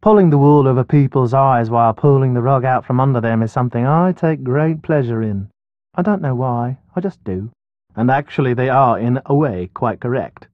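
A man's voice: medium pitch, moderate pace, no room sound, narrow, tinny audio, natural voice. An actor voicing a flippant, nearsighted, hungry Englishman trapped underground in a video game, with a wry, wordy manner. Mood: dry, flippant. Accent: British. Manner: wry, wordy, posh turns of phrase. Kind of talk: video game character dialogue.

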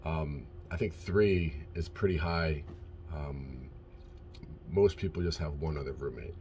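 Very deep-voiced man giving a cabin tour. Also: measured pace, slight background noise. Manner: relaxed, rambling, lots of ums. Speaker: vlogger. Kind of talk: casual vlog room tour. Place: small crew cabin on a cruise ship. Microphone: phone held at arm's length. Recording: music playing under the voice. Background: background music.

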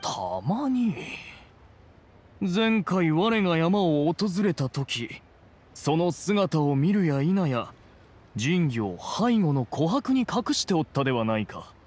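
A haughty immortal from an anime game. His speech is archaic and imperious, pitched a little high with animated swings. He sounds stern and pompous. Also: takes it slowly, slight background noise. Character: haughty, stern immortal adeptus in young man's guise.

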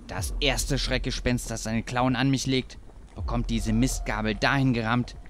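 An actor playing a boastful, vengeful gangster boss in a German audio drama, talking tough. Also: male voice, mid-range voice, fairly even intonation, unhurried, a little hiss or hum, natural voice. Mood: boastful, defiant.

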